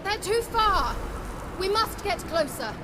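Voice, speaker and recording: A woman's voice: very high-pitched, sing-song, slow, noisy background, heavily acted. A woman playing a poised, earnest sorceress in a video game, speaking formally and articulately. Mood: urgent. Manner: formal, articulate, urgent in danger.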